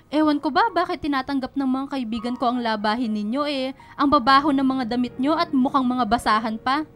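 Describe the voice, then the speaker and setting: A woman's voice: sits high, brisk, fairly bright tone, soft background music. A woman playing an honest, hardworking laundrywoman in a radio drama, earnest and polite. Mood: indignant. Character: honest, hardworking, humble laundrywoman and single mother. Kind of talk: Filipino radio drama acting.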